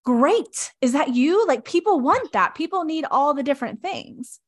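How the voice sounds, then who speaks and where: A woman hosting a podcast with a slightly high voice. She is upbeat and agreeable, chatting casually with her guest. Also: expressive, moderate pace. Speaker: podcast host. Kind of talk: casual interview talk.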